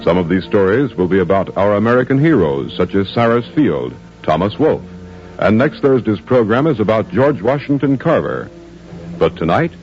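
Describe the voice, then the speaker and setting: Male narrator, lowish animated voice, formal storytelling, 1950s radio drama, music behind, muffled recording. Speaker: announcer and narrator.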